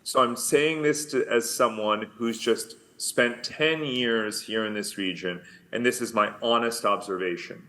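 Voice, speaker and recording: A man's voice: medium pitch, a little slow, hardly any pauses, sharp tone. A middle-aged man with a New York accent, speaking by video call at a conference, stressing his points with repeated "right?" and "you know". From United States. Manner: emphatic, repetitive, says "right?" and "you know" often. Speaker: keynote speaker.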